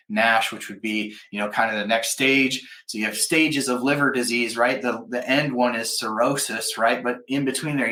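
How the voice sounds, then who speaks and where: A man's voice: mid-range voice, sharp tone. A man hosting a live stream, giving enthusiastic, conversational news commentary and explanations in a casual style. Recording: echoey, roomy sound.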